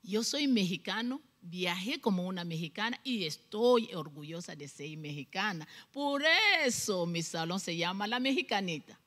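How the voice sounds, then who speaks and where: A woman in her forties who grew up in Cuba, speaking, is a salon owner chatting as a guest on a studio talk show. She holds a handheld mic and often bursts into animated emphasis. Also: on the low side, normal speed, hardly any pauses. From Congo, raised in Cuba. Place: TV studio set.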